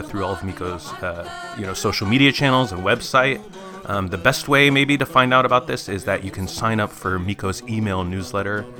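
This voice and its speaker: A man giving a conversational podcast introduction as the host. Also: sits low.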